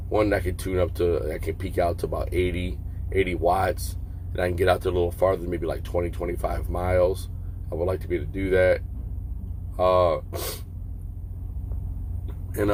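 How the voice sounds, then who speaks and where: Deep-voiced man rambling over vehicle hum. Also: darkish tone, low-quality recording. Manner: rambling, plainspoken, halting. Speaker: trucker reviewing a CB radio. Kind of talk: casual vlog product review. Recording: clear vehicle and air-conditioning hum.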